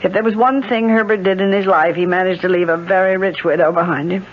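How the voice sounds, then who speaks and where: An American actress playing a proud, bitter, rich middle-aged widow in a radio drama, speaking with precise, sardonic theatricality. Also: female voice, lowish voice, fairly even intonation, fast, close to an everyday voice. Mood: bitter, weary. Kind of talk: 1970s radio drama acting. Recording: dull, noisy 1970s radio recording.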